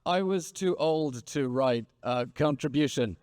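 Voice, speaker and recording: A man's voice: fairly high pitch, a little slow, a little mellow, clean tone, no echo, clean studio sound. A man in his thirties moderating a conference panel on stage, with rousing, repetitive rhetorical phrasing. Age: 30s.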